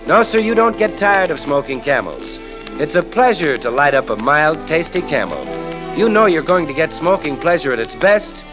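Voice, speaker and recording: High-voiced American 1930s radio announcer, male. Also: low-quality recording. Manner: polished, salesmanlike, emphatic. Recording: vintage 1930s radio broadcast.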